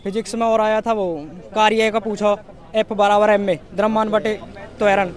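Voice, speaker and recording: A young man with a western Uttar Pradesh accent giving an informal, halting street interview as an exam candidate, repeating and rephrasing as he recalls. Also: high-pitched, level tone. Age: young adult.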